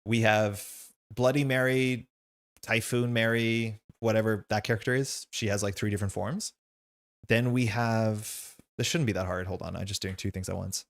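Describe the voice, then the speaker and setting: A man's voice: on the low side, steady tone, normal speed, vocal fry. A man whose first language is Slovenian, hosting and chatting casually and ramblingly while playing a card game. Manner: chatty and rambling, with frequent fillers and asides. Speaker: host.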